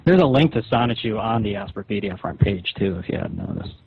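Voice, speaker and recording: A man's voice: on the low side, expressive, a little fast, slight background noise. A man arguing on a phone call in a blunt, condescending, persuasive manner. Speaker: rival webcomic creator. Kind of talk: phone argument. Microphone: telephone. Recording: muffled echoey phone call.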